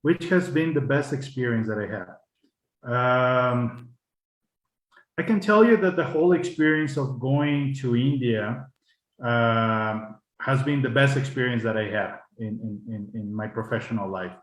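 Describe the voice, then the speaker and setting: A man speaking English as the guest speaker, friendly and often repeating words as he thinks. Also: mid-range voice. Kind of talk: colloquium Q&A. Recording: echoey room.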